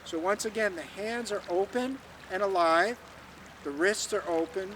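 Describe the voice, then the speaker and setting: A man teaching tai chi, guiding students calmly and patiently through an exercise step by step. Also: high voice, normal speed, distant mic, slight background noise, rough recording. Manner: calm, patient step-by-step guidance. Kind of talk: instructional talk with demonstration.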